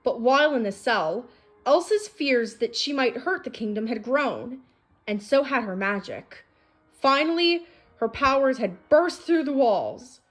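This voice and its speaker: A woman's voice: high voice, sing-song, a little slow. A woman reading a children's storybook aloud as a narrator. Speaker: storybook reader. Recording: faint music behind the voice. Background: music.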